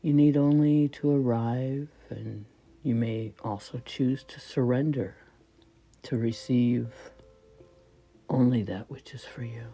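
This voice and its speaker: A woman leading a guided meditation in a soft, breathy voice that often falls toward a whisper, speaking reverently in short, measured phrases. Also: very deep voice, steady tone, soft background music. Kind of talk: slow spoken guided meditation.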